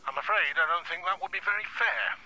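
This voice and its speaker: A man's voice: high-pitched, quick pace, sharp tone, full character voice. An actor in a radio drama playing a stern, moralising older father-in-law heard over the telephone. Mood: stern, reproachful. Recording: phone call, faint noise.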